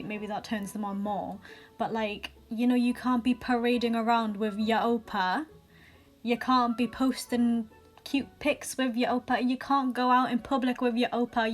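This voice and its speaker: A young woman reading viewer stories aloud in a casual vlog, with sassy asides and quick restarts. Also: on the high side. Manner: chatty, sassy asides, frequent laughs and restarts. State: recently sick.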